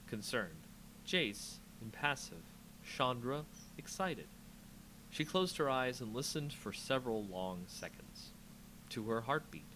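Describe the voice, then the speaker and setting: Animated man narrating a dramatic fantasy story. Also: medium pitch, a little hiss or hum, low-quality recording. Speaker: host reading a story aloud.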